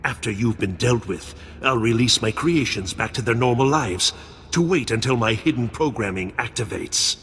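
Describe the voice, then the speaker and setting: Grandiose cyborg villain in a vast hall. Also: male voice, mid-range voice, normal speed, fairly bright tone, breathy edge. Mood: threatening, boastful. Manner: theatrical, contemptuous, grandiose. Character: grandiose menacing male cyborg mad-scientist villain. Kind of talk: video game cutscene acting. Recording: long hall reverb over music.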